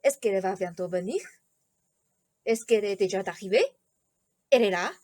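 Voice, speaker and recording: A woman's voice: medium pitch, moderate pace, darkish tone, lightly acted. A woman playing a stern, protective king and father in a student radio play. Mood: probing.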